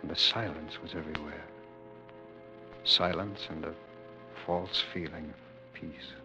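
An actor playing a tender, anguished man fleeing war, in halting, solemn 1940s radio drama style. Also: rumbling low, fairly even intonation. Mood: solemn, grieving. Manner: halting, repeating words, solemn. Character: fleeing lover narrating war's end, anguished, tender, devoted man. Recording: vintage radio broadcast with music.

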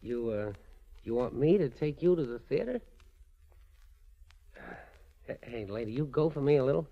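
A blunt, streetwise gangster from a 1940s radio crime show. He is hot-headed and slangy, his mid-pitched voice rising and dropping sharply, on a muffled old broadcast recording. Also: big pitch swings, moderate pace, creaky. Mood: smitten, eager. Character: tough, slangy, hot-headed male racketeer, easily smitten. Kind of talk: 1940s radio drama acting.

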